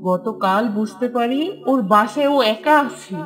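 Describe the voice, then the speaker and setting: Slow, high-voiced vengeful ghost woman, played by an actress. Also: animated, fairly bright tone, soft background music. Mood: bitter, brooding. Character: vengeful ghost of a murdered young woman, bitter. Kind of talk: horror cartoon voice acting.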